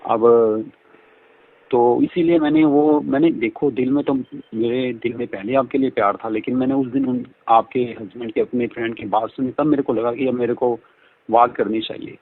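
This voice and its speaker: A man's voice: mid-range voice, expressive, normal speed, husky, no echo. A man speaking effusively and repetitively in a flirtatious, talkative conversation over a phone line. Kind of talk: flirtatious phone conversation in Hindi mixed with English. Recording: band-limited phone call audio.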